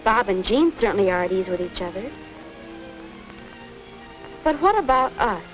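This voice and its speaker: A woman's voice: medium pitch, sing-song, normal speed, close to an everyday voice. An actress playing an earnest, wondering young American woman in a 1950 educational film, with a self-questioning way of talking. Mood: pensive. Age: young adult. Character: earnest young woman unsure about her romance. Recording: dull vintage 1950s film soundtrack with music.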